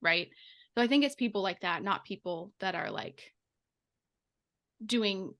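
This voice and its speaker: A woman of average pitch talks casually as a podcast co-host. She reasons her way through points reflectively, often seeking agreement as she goes. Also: big pitch swings, measured pace, vocal fry, a bit bright. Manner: reflective, reasoning aloud, checks with "right?".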